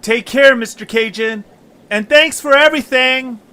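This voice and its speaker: Man doing a polite, hesitant young cartoon adventurer, raised pitch. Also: a little slow, bright, forceful tone, smooth, full character voice. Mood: earnest. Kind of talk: amateur audio drama acting.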